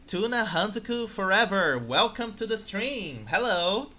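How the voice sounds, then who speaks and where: Excitable male streamer. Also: high-pitched, a little slow. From Brazil. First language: Portuguese. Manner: excitable, flustered, chatty. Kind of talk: live game commentary and chat.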